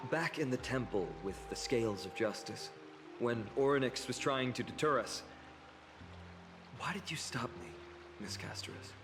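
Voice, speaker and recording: Guy voicing a young fantasy game hero, earnest n restless, mid pitch, hall reverb, music under it. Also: expressive, moderate pace, natural voice. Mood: reflective. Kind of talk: video game character dialogue.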